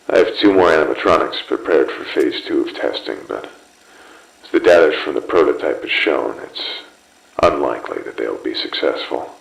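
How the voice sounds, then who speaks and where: An extremely deep, heavily creaking voice of a cold, obsessive scientist. He dictates his experiment logs slowly and grandly, as in a horror game tape, with a clear echo around him. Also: exaggerated voice. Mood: cold, calculating. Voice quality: gravelly. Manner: slow, deliberate, grandiose pronouncements. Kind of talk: scripted horror game audio log. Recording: echoey taped audio log.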